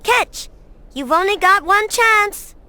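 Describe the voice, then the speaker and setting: A woman's voice: very high voice, slow, no echo, full character voice. A woman voicing a spunky, defiant young orphan girl in a video game, blunt and cheeky, with a childlike voice. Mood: eager, calling out. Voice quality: bright, childlike.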